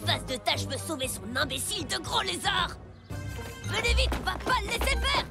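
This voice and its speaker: A very high, swooping child's voice for a cartoon rival who sneers and tattles. The tone is smug and scornful, over loud music. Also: female voice, big pitch swings, normal speed, gravelly, heavily acted. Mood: sneering. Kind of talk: French animated cartoon dubbing.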